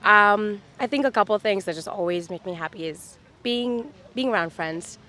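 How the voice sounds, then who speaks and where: A woman's voice: medium pitch, moderate pace, bright, forceful tone. A woman in her thirties from Dubai, chatting upbeat as a street interview guest, with many fillers. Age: 30s. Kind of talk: casual chat. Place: outdoors on a city street.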